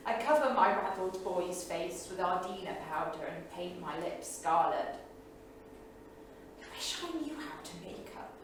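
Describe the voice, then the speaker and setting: A woman's voice: fairly low pitch, expressive, measured pace. A woman playing an eccentric, unstable bohemian wife, confessing frankly in a staged monologue. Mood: matter-of-fact, self-absorbed. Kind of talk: staged dramatic monologue reading. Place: small studio theatre, staged reading. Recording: echoey theatre, distant mic, faint noise.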